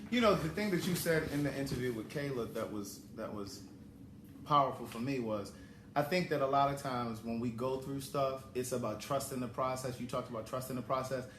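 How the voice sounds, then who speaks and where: A man's voice: medium pitch, a little fast, a bit bright, roomy sound, slight background noise. A man in his forties on a talk show, reflective and giving blunt advice. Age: 40s. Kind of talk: casual talk show chat.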